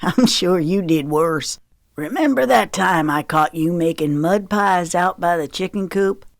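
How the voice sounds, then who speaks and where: A woman's voice: on the low side, a little slow, creaky, no room sound. A woman voicing a stubborn, dry-humored older father in an audiobook. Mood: teasing. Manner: dry, matter-of-fact, contrary.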